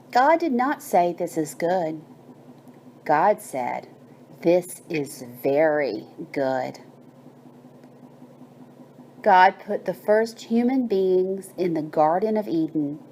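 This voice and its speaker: A woman narrating a bedtime story and guided meditation in a soothing, measured manner with drawn-out phrases. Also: lowish voice, lively intonation, warm tone, slight background noise.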